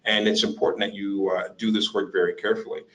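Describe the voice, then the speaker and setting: Lively joking man running a workshop. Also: fairly low pitch, fast talker, poor audio. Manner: lively, joking, acts out little scenes. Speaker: career workshop presenter. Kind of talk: workshop talk to job seekers.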